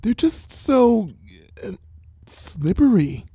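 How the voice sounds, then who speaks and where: A man voicing a timid young female pegasus from a cartoon fan fiction, hesitant and halting. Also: high voice, big pitch swings, dark tone, slightly rough, no room sound, clean studio sound, pitched above the performer's own voice, heavily acted. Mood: timid, apologetic.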